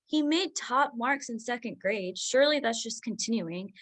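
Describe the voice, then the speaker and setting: A woman playing an overworked, proud doctor mother in a staged drama reading, brisk, insistent and defensive. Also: fairly high pitch, lively intonation, fairly bright tone.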